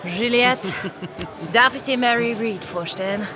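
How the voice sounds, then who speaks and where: A woman's voice: a little high, unhurried, a crowd in the background, natural voice. An actress playing a bold, cocky young woman pirate in a radio drama, playful and direct. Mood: surprised.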